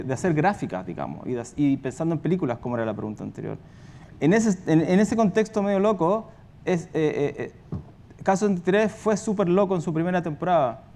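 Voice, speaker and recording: A man with a Chilean accent and a fairly high voice speaks off the cuff, as on a discussion panel. He rambles colloquially, telling things as anecdotes with many side remarks. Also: a little mellow. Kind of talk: spontaneous panel talk.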